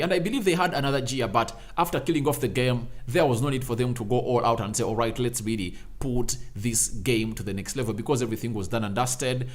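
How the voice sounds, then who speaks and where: A man hosting a live football reaction show, reading out viewer comments and giving his views in an emphatic, repetitive way. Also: medium pitch. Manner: emphatic, repeats phrases for effect.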